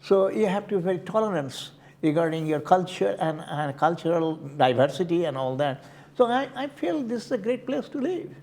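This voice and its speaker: An older man with an Indian accent, answering interview questions in a halting way, often repeating words as he searches for them. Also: high-pitched, animated, vocal fry, darkish tone. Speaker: community board candidate.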